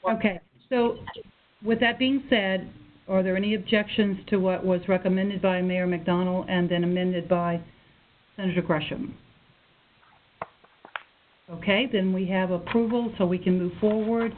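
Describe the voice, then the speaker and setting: A woman's voice: medium pitch, fairly even intonation, unhurried, pauses often. A woman acting as committee chair, formally running a meeting on a conference call. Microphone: telephone. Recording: tinny conference phone line with noise.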